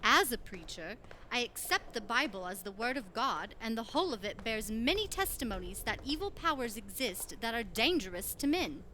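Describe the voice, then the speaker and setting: A woman playing a grave, foreboding lady preacher in a radio play, speaking formally and with measured care. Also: fairly high pitch, very animated, sharp tone, slight background noise. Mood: solemn foreboding. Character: solemn, foreboding woman preacher who fears evil powers.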